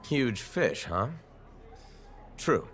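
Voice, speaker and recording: An actor playing a calm, earnest male freelancer in a video game cutscene. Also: medium pitch, extremely slow, full character voice. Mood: amused.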